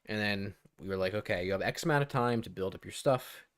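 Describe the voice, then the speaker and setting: Male co-host, slightly low voice, casual joking banter on a gaming podcast. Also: brisk, a bit bright.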